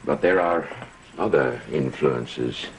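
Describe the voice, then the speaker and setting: An actor playing a sinister, scheming cult man in a gothic soap opera, with measured theatrical speech. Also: a bass voice, slight background noise, poor audio. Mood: plotting, defensive. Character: scheming male cult member plotting with a coven.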